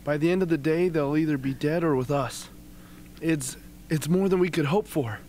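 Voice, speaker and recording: A young man playing a wounded, devoted young victor in an amateur radio play, speaking in a halting, solemn way. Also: a little high, a little mellow, lightly acted. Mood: earnest. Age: young adult. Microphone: close studio microphone on stand. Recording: faint hum and background noise.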